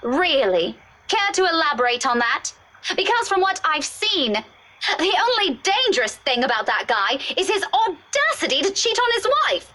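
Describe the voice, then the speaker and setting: A woman's voice: high voice, sing-song, normal speed, bright, forceful tone, exaggerated voice. A woman playing a sarcastic, fed-up young woman. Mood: exasperated. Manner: sarcastic, exasperated. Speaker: game narrator and player.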